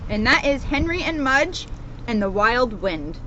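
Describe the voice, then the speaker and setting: A woman's voice: a little high, big pitch swings, measured pace, pushed and bright, dull audio, slight background noise. A woman police officer speaking to her community in a storybook read-aloud and informal style. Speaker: police officer reading a children's book to the community.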